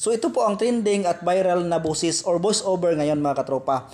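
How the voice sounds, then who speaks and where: A man's voice: sits high, moderate pace, a little mellow. A man with a Filipino accent hosting a step-by-step app tutorial in voice-over, repeating himself and addressing viewers directly as friends. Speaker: tutorial host.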